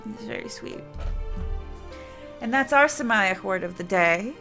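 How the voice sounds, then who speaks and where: A woman's voice: mid-range voice, expressive, measured pace, sharp tone, soft background music. A woman streamer chatting casually to her viewers, rambling and often restarting her sentences. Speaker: game streamer.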